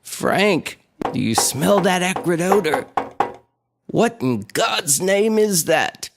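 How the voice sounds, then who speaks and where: High-voiced earnest country doctor, acted by a man. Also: lively intonation, slow pace, vocal fry, very noisy, full character voice. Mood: alarmed. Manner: earnest, dramatic. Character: grave, alarmed small-town male doctor, older family physician. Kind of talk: radio horror drama acting. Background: faint music.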